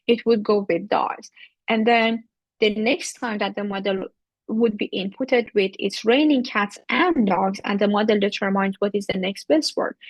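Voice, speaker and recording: A woman's voice: medium pitch, no echo, low-quality recording. Woman, speaker at a tech talk, explanatory, dry close sound. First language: Persian. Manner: explanatory, with frequent fillers and restarts. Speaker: AI researcher giving a talk. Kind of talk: technical presentation.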